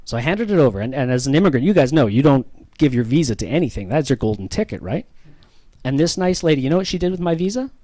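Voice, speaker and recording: A man's voice: mid-range voice, very animated, fast talker, warm tone, no echo. A man with a Canadian accent doing self-deprecating, ironic stand-up comedy and bantering with the audience.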